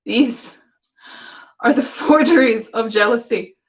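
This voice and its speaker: An aggrieved, poetic fairy queen, played by a woman declaims a Shakespearean stage monologue in a slightly high, measured, dramatic voice. She sounds a little away from the mic. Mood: reproachful. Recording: distant camera microphone.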